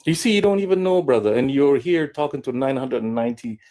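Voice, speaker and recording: A man's voice: mid-range voice, animated, quick pace, mellow. A male caller from Kenya, arguing and talking over the host in a taunting way. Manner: argumentative, talks over others, taunting. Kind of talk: heated call-in argument.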